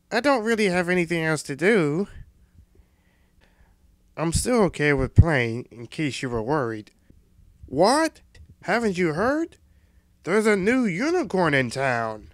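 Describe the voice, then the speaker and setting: Dim young male cartoon pony, high voice, swooping intonation, cheerful, goofy, slow-witted. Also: no echo, studio quality, full character voice. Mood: carefree, then excited.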